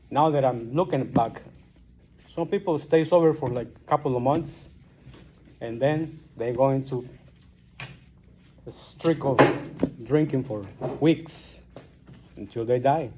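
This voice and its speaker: Speaker at a recovery meeting: a Guatemalan-accented man in his forties, mellow tone, slight room hiss behind him. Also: medium pitch. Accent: Guatemalan Spanish. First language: Spanish. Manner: halting, plain-spoken, restarts often. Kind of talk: personal recovery story.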